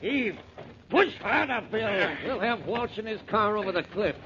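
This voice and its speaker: An actor playing a nervy, bossy small-time killer, giving curt slangy orders in 1940s radio crime drama style. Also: male voice, high-pitched, lively intonation, unhurried. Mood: urgent. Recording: muffled noisy 1940s radio recording.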